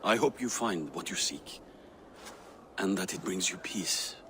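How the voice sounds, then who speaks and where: An actor playing a solemn, caring Viking counsellor in a video game, speaking in a grave, measured way. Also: male voice, low voice, animated, noisy background. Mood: solemn, resigned. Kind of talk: dramatic video game acting.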